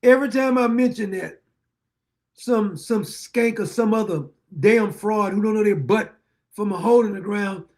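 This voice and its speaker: A man hosting a political talk show, ranting bluntly and rambling, his voice going hoarse as he keeps clearing his throat. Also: high voice, normal speed, darkish tone. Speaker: show host. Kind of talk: political commentary monologue.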